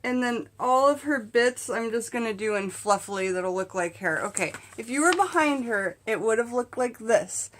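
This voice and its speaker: A middle-aged woman with a fairly high voice talks candidly to her camera. She tells her story in a casual, comedic style, animated and conversational, like a vlog host chatting with her viewers. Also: normal speed, few pauses, a little hiss or hum. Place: small indoor space in front of a curtain.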